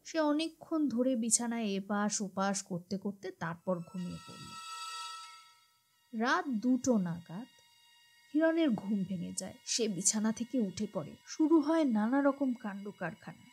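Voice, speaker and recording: A woman's voice: fairly high pitch, expressive, a little slow, darkish tone. A woman narrating a scripted horror story in a dramatic storytelling style. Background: music behind the voice.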